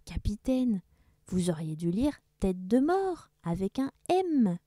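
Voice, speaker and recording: A woman voicing a patient old male schoolteacher in a children's story, calmly explaining. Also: mid-range voice, slow pace, no room sound, clean studio sound. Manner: calm explaining.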